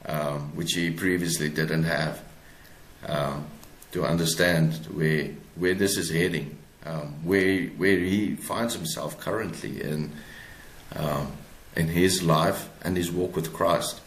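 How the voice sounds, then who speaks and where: A man with a South African accent talking reflectively as an interview guest, haltingly, repeating words as he searches for his phrasing. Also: low voice, steady tone. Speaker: guest author. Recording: roomy, echoing sound.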